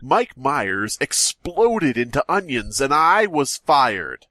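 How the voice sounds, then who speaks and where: A man's voice: medium pitch, big pitch swings, slow pace, sharp tone, no room sound. A man co-hosting a comedy podcast, reading a silly horror story aloud with mock-dramatic flair. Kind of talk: casual comedic podcast chat.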